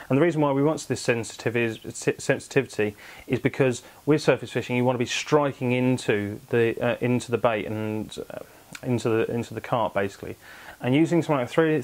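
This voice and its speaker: A young man in his twenties, host of an angling channel, explaining tackle to camera from a small home office with lots of fillers and self-corrections. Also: mid-range voice.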